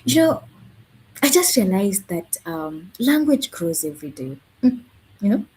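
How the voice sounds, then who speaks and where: A woman's voice: mid-range voice, very animated, unhurried, darkish tone, a little hiss or hum, rough recording. A woman from Kenya, a language educator, speaking as a guest in a live online conversation and searching for words with many fillers. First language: not Swahili. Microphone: video call.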